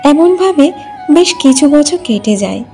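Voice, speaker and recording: High, mellow-voiced woman storyteller. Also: sing-song, normal speed, faint music behind. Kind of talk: scripted cartoon folk-tale narration.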